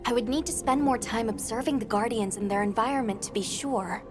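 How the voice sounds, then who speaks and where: An actress voicing a calm, thoughtful woman researcher in a video game, speaking in a measured, analytical way. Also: fairly high pitch, lightly acted. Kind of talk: video game cutscene dialogue. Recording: game audio with music.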